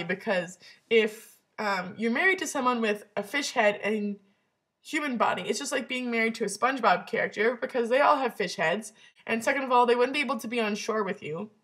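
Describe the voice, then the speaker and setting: A woman's voice: medium pitch, fairly bright tone. An eighteen-year-old woman from Canada hosting a casual vlog, bubbly and rambling, with lots of ums and self-corrections. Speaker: vlog channel host.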